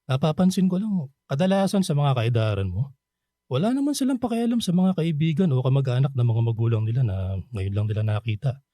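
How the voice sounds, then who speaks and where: An actor playing a kindly, bashful middle-aged Filipino bachelor in a radio drama, talking gently and considerately. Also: male voice, medium pitch, animated, fairly quick, no echo, clean studio sound, lightly acted. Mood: reassuring.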